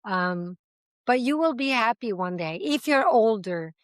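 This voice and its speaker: A woman's voice: fairly high pitch, expressive, unhurried, no room sound, clean studio sound. A woman who founded a language school, answering interview questions in a passionate, talkative way and drawing on everyday examples. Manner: speaks several languages.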